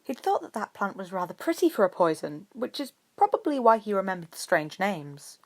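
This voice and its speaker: A woman's voice: medium pitch, big pitch swings, brisk. A woman reading scripted story narration as an amateur audiobook narrator.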